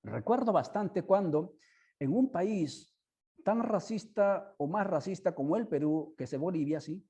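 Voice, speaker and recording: A man hosts an online discussion over a video call headset, his voice mellow and a little higher than most men's. He talks in halting bursts and keeps checking in with his listeners through tag questions. Spanish is his second language. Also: animated, slightly rough. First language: not Spanish. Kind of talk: informal spoken commentary to an online audience.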